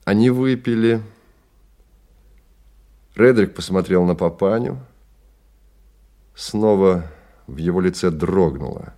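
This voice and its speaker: An actor narrating a bleak science-fiction radio play as a grave, measured, dramatic literary storyteller. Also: male voice, sits low, animated, warm tone, heavily acted. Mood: storytelling.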